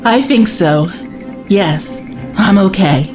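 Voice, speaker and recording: Actress as earnest female companion, inquisitive, lively swooping intonation, sci-fi audio drama, music behind. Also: mid-range voice, takes it slowly. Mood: relieved. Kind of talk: science fiction audio drama acting.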